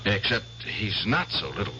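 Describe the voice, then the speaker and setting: An actor playing an obsessed war veteran in a 1970s radio drama, intense and bitter. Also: male voice, fairly low pitch, sing-song, moderate pace, bright, forceful tone, a little hiss or hum, full character voice. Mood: menacing. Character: obsessed veteran hunting an old comrade. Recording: dull vintage radio broadcast.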